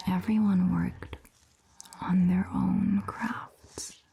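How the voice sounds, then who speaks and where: A woman playing a calm, caring vampire doctor in a whispered ASMR roleplay, speaking softly and breathily with gentle, measured pauses. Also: on the low side, creaky, heavily acted. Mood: calm, explaining. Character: calm, caring female vampire doctor telling old lore. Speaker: ASMR performer.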